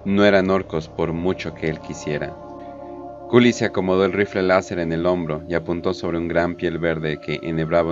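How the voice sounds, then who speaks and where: A man's voice: rumbling low, dull audio. A man narrating a scripted war story in a dramatic audio drama style. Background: prominent background music.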